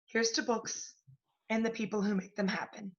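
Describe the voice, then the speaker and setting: A woman's voice: on the high side, little pitch movement, normal speed, dull audio, natural voice. A woman playing a brash, scheming, profane literary agency boss in a stage play. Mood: giddy. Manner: pushy, profane, salesy. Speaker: stage actor. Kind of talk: theatrical one-act stage play acting.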